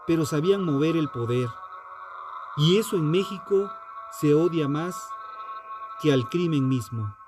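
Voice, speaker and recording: A man narrates a scripted true crime piece in a solemn, dramatic and deliberate manner. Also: fairly high pitch. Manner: solemn, dramatic, deliberate phrasing with rhetorical questions. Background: music.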